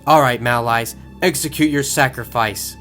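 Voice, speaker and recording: A man's voice: medium pitch, moderate pace, fairly bright tone, soft background music, natural voice. Man voicing a menacing, sneering villain duelist. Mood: sinister, gloating. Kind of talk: fan audio drama acting.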